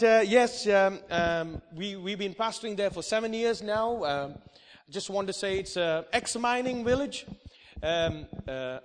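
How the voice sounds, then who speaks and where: A man from India preaching a lively sermon, peppering his talk with amens and hallelujahs and laughing often. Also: high-pitched. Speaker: guest preacher. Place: church hall. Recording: live in a church with long reverb.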